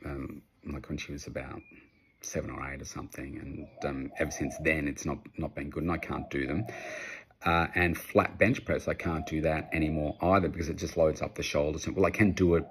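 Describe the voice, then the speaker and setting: Very deep, gravelly Australian man. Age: 50s. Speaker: personal trainer answering audience questions. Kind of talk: casual unscripted advice talk.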